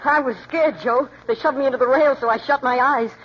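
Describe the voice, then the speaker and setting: A young male actor playing an excitable, eager kid brother in a 1940s radio drama. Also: very high-pitched, fairly quick. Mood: breathless, excited. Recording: noisy muffled old radio, crowd behind.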